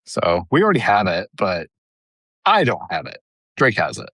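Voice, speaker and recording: A man's voice: fairly low pitch, wildly swooping pitch, moderate pace, fairly bright tone, breathy edge, no echo, clean studio sound. A man co-hosting a podcast in casual chat, easygoing and chatty. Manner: easygoing, chatty, many fillers like "like" and "dude". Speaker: podcast co-host.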